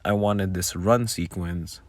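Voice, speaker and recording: A man giving an informal, halting, self-deprecating behind-the-scenes commentary as an audio creator, talking casually close to the microphone. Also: low voice, fairly even intonation.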